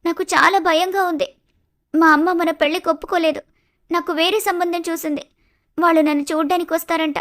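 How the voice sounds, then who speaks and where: A woman's voice: very high voice, fairly even intonation, a little fast, pauses often, a bit bright, pitched above the performer's own voice. A woman voicing a distressed young woman in love in a story, pleading and plaintive. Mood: tearful, anxious.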